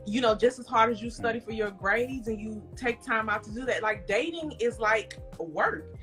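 A woman's voice: a little high, normal speed, a bit bright, soft background music. A woman caller on an advice show, earnestly explaining her views. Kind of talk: call-in conversation.

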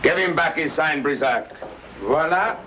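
An actor playing a mocking, menacing middle-aged trade boss, a Western villain. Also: male voice, on the high side, expressive, measured pace. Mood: cold threat. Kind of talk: 1950s Western screen acting. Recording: muffled, very noisy vintage film soundtrack.

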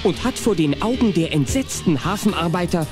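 A man's voice: high voice, expressive, moderate pace. A man narrating a children's audio play in a scripted storytelling style. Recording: music behind the narration.

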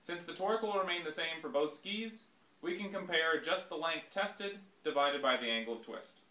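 Bright-voiced young man explaining in a workshop. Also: fairly high pitch. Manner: measured, explanatory, reads from a script. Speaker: ski company presenter. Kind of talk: scripted product demonstration. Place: small indoor workshop. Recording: echoey workshop room.

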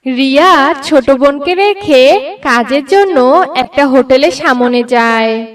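A woman's voice: high-pitched, lively intonation, slow, distant mic. A woman narrating a scripted bedtime story for a cartoon.

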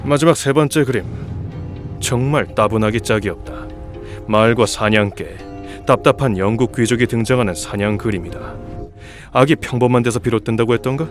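A man's voice: medium pitch, normal speed, lightly acted. Man acting a cynical, volatile hotel guest over music. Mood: dry, sardonic. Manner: sardonic, muttering observations, explosive when angry. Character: cynical male hotel guest, dryly observant, easily enraged. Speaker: amateur voice actor. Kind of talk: fan-dub film acting.

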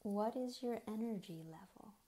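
A woman leading a guided body scan meditation in a gentle, unhurried manner, with soft, breathy phrase endings and long pauses between short phrases. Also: mid-range voice.

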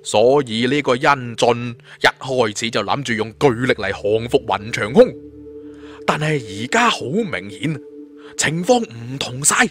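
A man's voice: mid-range voice, lively intonation, a little slow, fairly bright tone. A man with a Cantonese accent narrating a martial fantasy tale in a theatrical, colloquial audiobook style. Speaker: storyteller. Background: background music.

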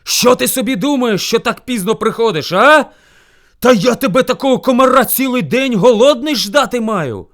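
A man's voice: sits high, animated, normal speed, a bit bright, voice pushed up. A man voicing a furious, hungry bear who bullies and threatens as lord of the forest in a folk tale. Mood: furious scolding. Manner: roaring, threatening, bellowing demands. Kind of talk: animated folk tale storytelling.

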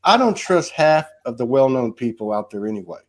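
A man's voice: mid-range voice, very animated, a little fast. Casual host dude in his 50s on a video call, meandering, says man a lot. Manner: rambling, loose, peppered with "you know" and "man". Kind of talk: casual spiritual chat. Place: home room, indoors.

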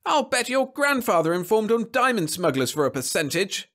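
A man voicing an excitable, smug bureau official with an emphatic manner in a science fiction story. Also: fairly high pitch, normal speed, voice pushed up. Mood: outraged, ranting. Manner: effusive, emphatic.

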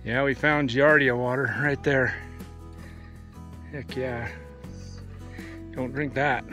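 A middle-aged American man with a folksy, excitable manner, narrating his own backpacking and fishing trip outdoors by a mountain lake, recorded on a handheld camera. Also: medium pitch, slow pace, soft background music. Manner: folksy, excitable, says "heck yeah". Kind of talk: casual outdoor vlog narration.

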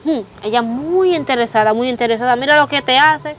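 A young woman plays a sighing, gossipy friend full of sympathy. Her voice is high and quick, with faint outdoor noise behind. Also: a bit bright. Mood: sympathetic. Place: outdoors, rural yard by a hammock.

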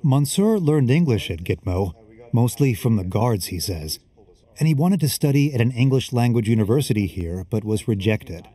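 A male reporter narrating a documentary voice-over in a measured, even manner. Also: mid-range voice, husky. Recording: voice-over under music.

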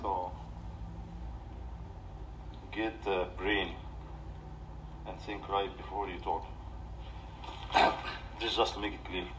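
A man in his thirties with an Egyptian accent, ranting off the cuff on a livestream in a defensive, halting, repetitive way. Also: deep voice, level tone. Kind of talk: off-the-cuff livestream rant to camera. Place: small living room indoors. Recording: distant mic with background noise.

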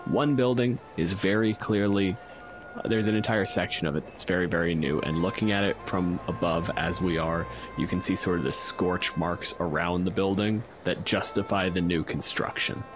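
Low-pitched man talking tabletop games. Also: normal speed, music in the background. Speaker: podcast host and game master. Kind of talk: casual discussion and improvised tabletop game narration.

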